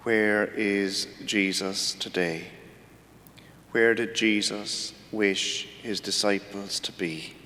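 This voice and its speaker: Slow, reflective Irish priest in a church. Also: male voice, fairly even intonation, sharp tone. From Ireland. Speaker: priest giving a homily. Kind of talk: weekday Mass homily. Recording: church hall reverb with faint rumble.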